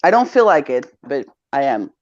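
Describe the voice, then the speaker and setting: A woman's voice: very deep voice, big pitch swings, normal speed, darkish tone. A woman in her thirties with a Greek accent, chatting playfully and teasingly as co-host. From Greece. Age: 30s. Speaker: show co-host. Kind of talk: casual chat. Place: at home on a video call. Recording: dull lo-fi call audio.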